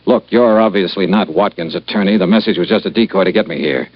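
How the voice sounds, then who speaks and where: An actor playing a tough, blunt insurance investigator in an old-time radio detective drama. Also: male voice, low-pitched, fast talker, dry recording. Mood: defiant. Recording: dull vintage radio recording.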